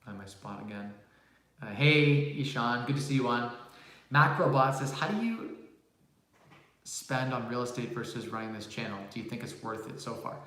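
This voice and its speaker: A young man in his twenties talks quickly and casually to his viewers, answering questions with plenty of fillers. His voice sits at an ordinary middle pitch. It rings with heavy echo off the bare walls of an empty room, picked up from a phone several feet away. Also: animated, pushed and bright, slight background noise. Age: 20s. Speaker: live stream host answering viewer questions. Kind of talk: casual live-stream Q&A. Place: large empty room, new home office. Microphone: phone mic about six feet away. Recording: echoey empty room, distant phone mic.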